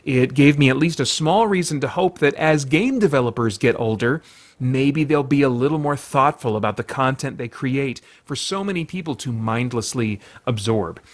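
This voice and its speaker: A man's voice: medium pitch, animated, normal speed. A man hosting a review show, giving chatty opinions and recommendations. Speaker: podcast host and film reviewer.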